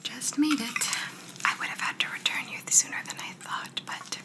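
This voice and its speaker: A woman playing a polite, curious alien examiner in an ASMR roleplay, speaking in a soft, breathy whisper with a gentle, clinical, faintly bemused manner. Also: moderate pace, close to an everyday voice. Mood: soothing, clinical.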